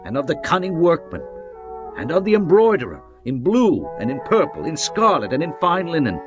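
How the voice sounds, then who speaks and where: Man acting impassioned prophet, swooping high delivery. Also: wildly swooping pitch, lo-fi sound. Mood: solemn, exalted. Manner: declamatory, deliberate, solemn phrasing. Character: Moses, impassioned male prophet and leader addressing his people. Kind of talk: dramatised scripture reading. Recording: music bed behind the voice.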